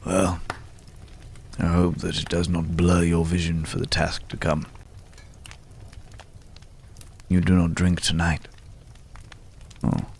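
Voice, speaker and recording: A man acting a mysterious, courtly, world-weary man in an intimate, hushed ASMR roleplay, with florid, old-fashioned, pensive phrasing and a gravelly voice. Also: low-pitched, completely flat delivery, a little slow, long pauses, full character voice. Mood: polite curiosity.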